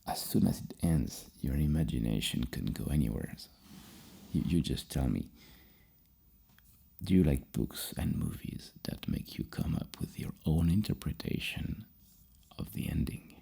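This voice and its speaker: Man, ASMR therapist roleplay, extremely deep, very breathy and husky, soft-spoken, halting delivery, slipping into whispers. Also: big pitch swings. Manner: slow, halting, rambling, dropping into whispers. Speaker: ASMR roleplayer playing a psychologist giving a personality questionnaire.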